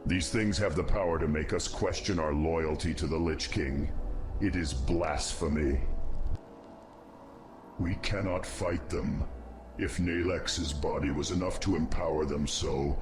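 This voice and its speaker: A man voicing a grave, loyal undead nerubian commander with a formal, deliberate delivery in a fantasy game cutscene. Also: a bass voice, noisy background. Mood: troubled.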